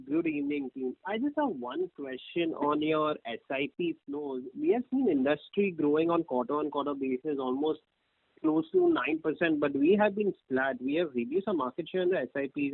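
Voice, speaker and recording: A man's voice: sits high, creaky, no room sound. A man with an Indian accent asking an analyst's question on a business call. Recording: dry tinny phone line.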